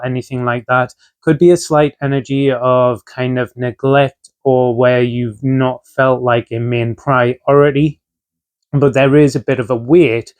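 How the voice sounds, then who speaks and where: A man talking through a casual tarot reading, his voice still rough from a chest infection, relaxed and filled with ums and uhs. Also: medium pitch. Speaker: tarot reader.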